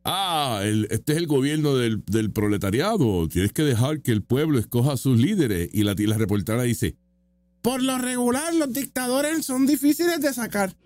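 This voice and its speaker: A man's voice: fairly high pitch, animated, normal speed, a little mellow, dry recording. A man giving casual, opinionated reaction commentary, mocking and full of rhetorical questions. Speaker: political commentator reacting to street interview clips.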